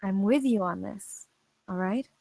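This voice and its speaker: A woman playing a steady, worried police officer and loyal friend in a screenplay table read. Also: mid-range voice, unhurried, creaky, no echo. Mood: earnest, firm.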